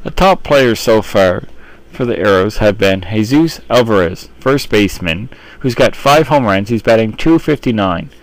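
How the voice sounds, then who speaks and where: A man's voice: lowish voice, animated, moderate pace, no room sound, rough recording. A man delivering baseball team commentary in the manner of an announcer addressing his audience. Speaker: sports newsreel commentator.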